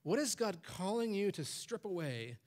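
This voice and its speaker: A man's voice: high-pitched, sing-song, normal speed, a little mellow. A pastor in his forties preaching on a church stage, his voice lifting when he quotes commands. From Michigan, USA. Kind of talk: sermon.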